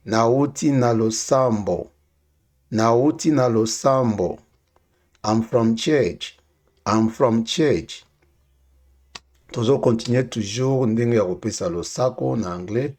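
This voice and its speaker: A man teaching English phrases, saying each one twice, slowly and clearly, for learners to repeat. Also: medium pitch, a little mellow. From Democratic Republic of the Congo. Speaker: language teacher. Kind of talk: casual spoken language lesson with repeated phrases.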